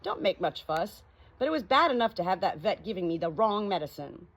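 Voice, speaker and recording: A woman's voice: medium pitch, very animated, fairly quick, dull audio. A woman voicing a blunt, grumbling plow horse in an audiobook, plain-spoken, complaining and pleased with itself. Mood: indignant. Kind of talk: audiobook character dialogue. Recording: clearly noisy home recording.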